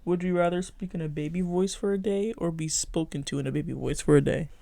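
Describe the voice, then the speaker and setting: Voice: mid-range voice, fast talker, creaky, mellow, dry recording. A young co-host in casual podcast chat, reading out questions and giving short teasing replies.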